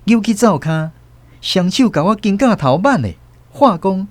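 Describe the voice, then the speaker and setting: A man with a Taiwanese accent giving expressive scripted narration of a literary story for radio drama. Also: sits high, measured pace.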